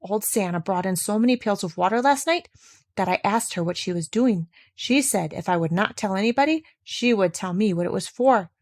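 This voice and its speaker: A woman's voice: mid-range voice, expressive, a little fast. Woman reading as an earnest, devoted little girl. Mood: urgent. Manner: earnest, pleading, old-fashioned solemn phrasing.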